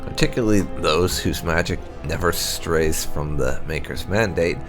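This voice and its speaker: A man narrating game lore notes aloud in a measured, storyteller-like way. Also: low-pitched, music in the background. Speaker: gaming video host.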